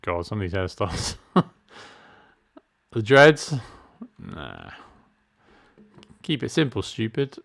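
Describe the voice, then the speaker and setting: Gravelly low-voiced man. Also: wildly swooping pitch, slow, long pauses, a bit bright. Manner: chatty, self-deprecating, reads menu options aloud. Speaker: gaming streamer. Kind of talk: casual let's-play commentary.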